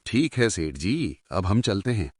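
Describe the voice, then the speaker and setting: A cautious elder farmer from a village, voiced by a man in a cartoon story, plain-spoken and a little doubtful. His voice is very low, but the pitch moves a lot. It is recorded dry. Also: big pitch swings, unhurried, a little mellow, slightly rough, dry recording, heavily acted.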